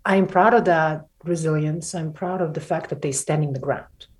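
A woman from Ukraine talks through a conversational interview in a low voice. She speaks haltingly, restarting often, then presses her points with emphasis. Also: a little mellow. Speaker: interview guest.

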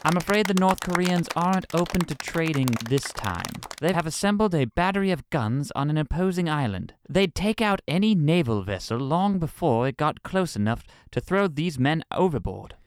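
A man's voice: fairly high pitch, lively intonation, moderate pace, hardly any pauses, clear, resonant tone, no echo, natural voice. A man playing a formal, patient military officer in a comedy audio drama. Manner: formal, patient, slightly flustered. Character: polite, fussy male military officer.

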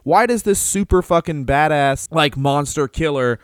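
A man's voice: fairly high pitch, moderate pace, smooth, no echo, clean studio sound. A man co-hosting a casual podcast, rambling loosely with lots of fillers and offhand swearing. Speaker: podcast co-host. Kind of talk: casual wrestling podcast chat.

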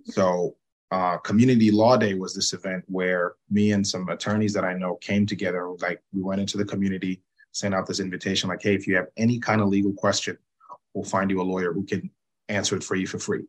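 A man from Zambia, a personal injury attorney, explaining his work in a measured, patient way as an interview guest, often checking in with "right?". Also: sits low, level tone, poor audio. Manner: measured, explanatory, frequent "you know" and "right?".

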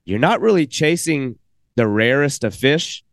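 A man's voice: medium pitch, big pitch swings, unhurried, dry recording, studio quality. A man hosting a casual podcast interview, talking enthusiastically and rambling with frequent restarts. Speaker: podcast host.

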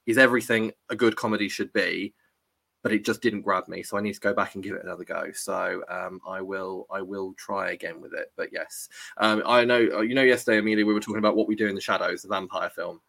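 A man's voice: lowish voice, steady tone, quick pace, creaky, fairly bright tone, no room sound. A man hosting a casual chat about films, chatty and rambling, reading live comments as he goes. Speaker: podcast host.

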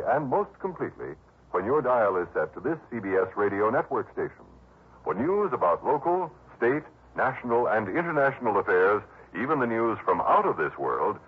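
Animated husky male vintage radio announcer. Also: mid-range voice. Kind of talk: 1960s scripted radio announcing and ad reading. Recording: muffled old radio broadcast.

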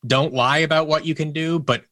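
A man's voice: a little high, steady tone, moderate pace, bright, forceful tone, dry recording, clean studio sound. A man speaking casually as an interview guest, telling stories and acting out bits of remembered dialogue. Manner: acts out remembered dialogue in anecdotes. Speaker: podcast guest, audio producer and actor.

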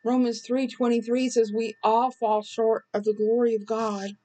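A slightly hoarse woman co-hosting a faith podcast, giving a devotional talk with scripture. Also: fairly high pitch, level tone, moderate pace, mellow, poor audio. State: slightly hoarse, recovering from illness.

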